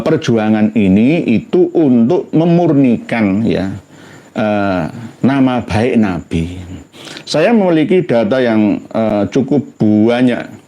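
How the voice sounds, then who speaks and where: A man from Indonesia with a Javanese accent giving an informal religious talk in a halting, conversational way. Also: mid-range voice, warm tone. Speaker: religious commentator.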